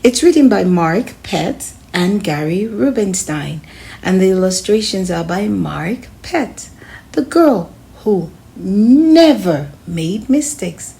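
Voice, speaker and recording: Animated woman reading a children's storybook. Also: mid-range voice, slow pace, creaky. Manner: expressive, addresses young listeners with questions. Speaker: children's read-aloud host. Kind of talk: storybook read-aloud narration.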